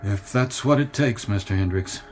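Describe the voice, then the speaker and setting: A man's voice: lowish voice, normal speed, a little mellow, soft background music, natural voice. Man acting a weary, hesitant young executive, radio drama. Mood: deferential, tense. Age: 30s. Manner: hesitant, deferential, wistful. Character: weary, polite, harried 36-year-old male ad executive.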